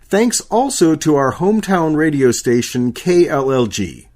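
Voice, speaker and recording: A man's voice: fairly high pitch, very animated, unhurried, a little mellow. A man reading scripted credits as a radio announcer.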